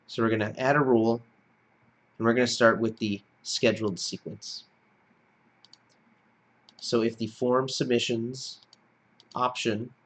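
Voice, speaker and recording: A man giving step-by-step screencast narration as an instructor, in a plain-spoken, explanatory manner. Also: medium pitch. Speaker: software tutorial instructor.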